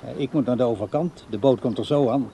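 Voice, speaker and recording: A man's voice: mid-range voice, quick pace, warm tone, natural voice. Evasive older man played by an actor, noisy outdoors. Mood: evasive, casual. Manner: evasive, musing. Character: evasive, restless older bachelor, dreamy. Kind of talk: radio play acting. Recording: clear outdoor background noise.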